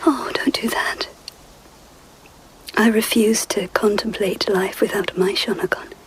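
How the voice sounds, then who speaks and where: An actress playing a poised, guarded empress in a radio drama, with measured, formal phrasing. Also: female voice, mid-range voice, steady tone, breathy edge, in a whisper, rough recording. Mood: troubled, confiding. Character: regal Japanese empress, poised, intimate, guarded.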